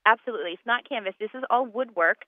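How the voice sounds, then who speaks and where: A woman's voice: fairly high pitch, fast talker, no room sound. A woman born in Poland, a guest talking casually about fundraiser events, chatty with many ums and restarts. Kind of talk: casual radio interview by phone. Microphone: phone line. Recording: tinny phone line.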